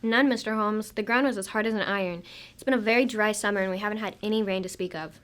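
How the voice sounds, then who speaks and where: A woman's voice: medium pitch, fairly even intonation, fast, natural voice. A woman playing a deferential, plain-spoken country police sergeant in a radio drama, with a folksy way of talking. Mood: matter-of-fact.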